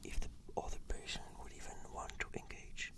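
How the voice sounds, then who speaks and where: A woman whispering fluent, measured, scripted answers as the patient in an ASMR therapy roleplay.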